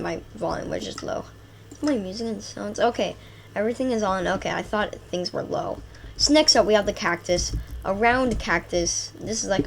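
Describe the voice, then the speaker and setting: A woman with a stuffy nose from a cold, chatting excitedly through a gameplay video, rambling through lists with fillers. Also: medium pitch, slight background noise. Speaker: gaming channel host. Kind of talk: casual gameplay commentary.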